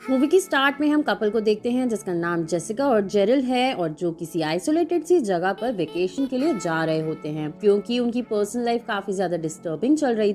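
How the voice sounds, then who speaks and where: A woman's voice: mid-range voice, darkish tone. Lady doing a movie recap, chatty, lots of up and down in her voice, bit of music under it. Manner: conversational, with English phrases mixed in. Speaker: narrator of movie summaries.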